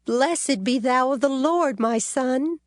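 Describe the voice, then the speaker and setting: A woman's voice: high voice, slow, no echo, studio quality. A woman playing a devout, grateful mother of ancient Israel in a dramatized audio Bible, speaking solemnly and formally. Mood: grateful blessing.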